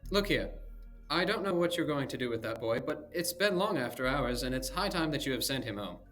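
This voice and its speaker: A man's voice: mid-range voice, animated, fairly quick, fairly bright tone, faint music behind, pitched above the performer's own voice, natural voice. A man voicing a diffident, polite scholar who stammers and sounds unimpressive. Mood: flustered. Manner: hesitant, stammering.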